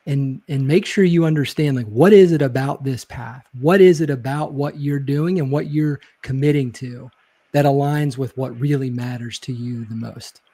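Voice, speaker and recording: A man's voice: a little high, warm tone. A man who is a guest in a casual interview, telling stories in a reflective way with many small hedges. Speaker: guest, leadership speaker.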